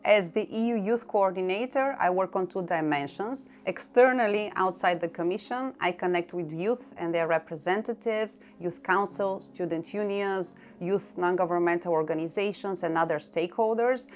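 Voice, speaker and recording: Bulgarian-accented woman, measured and low. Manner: measured, lists items one by one with short pauses. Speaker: EU youth coordinator. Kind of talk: explanatory talk to camera. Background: faint background music.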